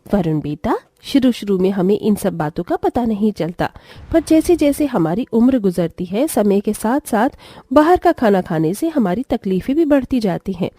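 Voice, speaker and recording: Woman playing a gentle, mellow village mother. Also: mid-range voice, sing-song, normal speed, few pauses, no room sound. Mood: patient advice. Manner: patient, advising. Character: gentle, dutiful village mother and daughter-in-law.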